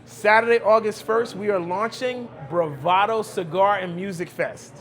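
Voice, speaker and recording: High-pitched New man, emphatic. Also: moderate pace, a bit bright. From Brooklyn, New York, United States. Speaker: cigar lounge owner, podcast guest. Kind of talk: conversational interview talk.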